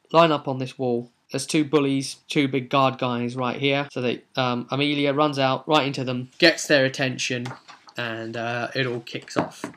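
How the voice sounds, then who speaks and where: A man's voice: mid-range voice, a bit bright. A man with a British accent casually recounting a tabletop role-playing session as game master, chatty and explanatory, with asides to the viewer.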